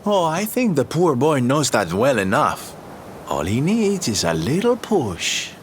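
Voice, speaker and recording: A man's voice: mid-range voice, very animated, measured pace, slight background noise. A man playing a flamboyant, teasing showman in a video game, theatrical, camp and coaxing in manner. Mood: breezy, affectionate.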